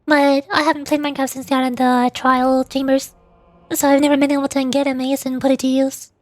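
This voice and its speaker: A woman's voice: high-pitched, level tone, fairly quick, no echo, faint music behind. A woman livestreamer chatting casually with viewers, rambling and often repeating words as she forms her thoughts. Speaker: VTuber livestreamer.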